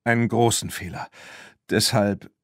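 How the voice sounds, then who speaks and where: An old cattle herder, voiced by a male audiobook reader, hesitant and full of remorse. He talks reluctantly and in halting bits, a man of few words. The recording is dry and close. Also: lowish voice, fairly even intonation, no echo, lightly acted. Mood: halting, remorseful. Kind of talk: audiobook character voice.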